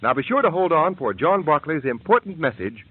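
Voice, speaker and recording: A man's voice: fairly high pitch, sing-song, fairly quick, husky. A man serving as announcer, delivering a commercial and narration in a formal, emphatic old-time radio manner. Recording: tinny old radio broadcast with music.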